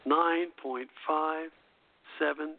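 An older man hosting a radio show in a folksy, halting way, reminiscing and reading out notes. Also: fairly high pitch, steady tone, vocal fry, no room sound. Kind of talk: casual reminiscing and reading out airline history notes. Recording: tinny narrow phone-line internet radio.

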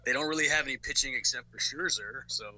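A man guesting on a sports podcast, chatting casually and telling quick stories. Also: medium pitch, rough recording. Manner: quick, bright, chatty storyteller.

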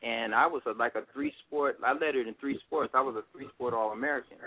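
A middle-aged man from Washington, D.C., a guest musician telling his story in a relaxed, rambling way. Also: medium pitch, fast talker, breathy edge. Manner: relaxed, rambling, many fillers. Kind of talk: casual interview. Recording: phone-like line.

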